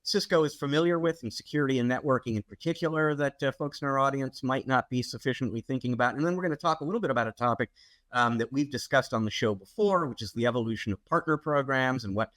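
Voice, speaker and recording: Podcast host guy, mid-pitched, upbeat, lots of ums, dry close mic. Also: fast, a bit bright, dry recording. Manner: upbeat, friendly introductions with frequent "um" and "uh". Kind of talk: casual podcast hosting.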